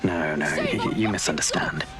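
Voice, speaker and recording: A man's voice: low voice, a little fast, natural voice. A man voicing a smug, cruel villain in a video game, taunting and condescending, with a British accent. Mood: smug, threatening. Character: smug, gloating male villain, cruel and self-assured. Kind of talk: video game cutscene acting.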